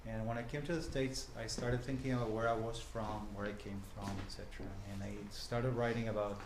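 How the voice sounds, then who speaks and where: A man from Buenos Aires with an Argentine Spanish accent, a journalist and professor giving an informal talk to a group, halting and full of restarts, often checking in with the room. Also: fairly low pitch, little pitch movement. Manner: halting, many fillers and restarts, asks the room questions. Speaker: guest speaker, journalist and professor. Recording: echoey room, faint noise.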